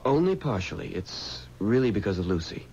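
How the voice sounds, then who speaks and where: An actor playing an earnest, uneasy young mortician with a hesitant way of talking in a 1970s radio drama. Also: male voice, fairly low pitch, big pitch swings, brisk, a little mellow. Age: young adult. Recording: dull, faintly noisy 1970s radio recording.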